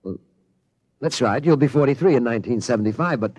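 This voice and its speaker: A man plays an earnest middle-aged father in a radio drama. His pitch is lively and wide-ranging, his delivery concerned and a little halting, recorded dry and close. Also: no echo, close to an everyday voice. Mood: bewildered, worried. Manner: incredulous, concerned, halting when flustered. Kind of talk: old-time radio drama acting.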